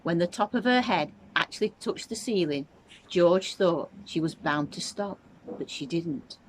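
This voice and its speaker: A woman reading a children's story aloud as a teacher would, with expressive narration. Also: fairly low pitch, unhurried, a little hiss or hum, rough recording.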